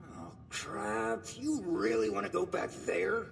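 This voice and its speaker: An actor playing an easygoing caravan guard in a video game, a loyal underling with a casual, expressive delivery. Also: male voice, high-pitched, slow pace, gravelly, in a large hall, far from the mic, a little hiss or hum, exaggerated voice. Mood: reluctant. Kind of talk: video game character dialogue.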